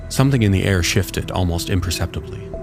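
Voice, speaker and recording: A man's voice: low voice, lively intonation, fairly quick, gravelly. A man narrating a scripted science fiction story. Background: music under the voice.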